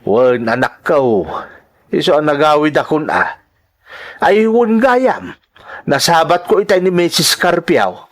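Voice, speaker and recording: A man playing a tired, hardworking elderly farmer father, gentle and reassuring in a drama style. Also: fairly high pitch, lively intonation, a little slow, pauses often. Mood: weary, explaining. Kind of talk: Ilocano radio-style drama acting.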